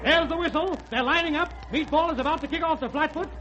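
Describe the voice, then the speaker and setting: An excitable football commentator in a very high-pitched voice. The 1930s radio comedy recording is muffled and noisy. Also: male voice, fast talker. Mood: excited. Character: excitable football radio announcer calling the game.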